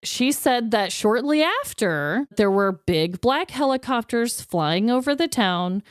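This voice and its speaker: Female podcast co-host, animated and smooth-voiced, casual storyteller, candid and informal. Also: fairly high pitch, measured pace, no echo, studio quality. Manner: informal, candid, occasionally swearing.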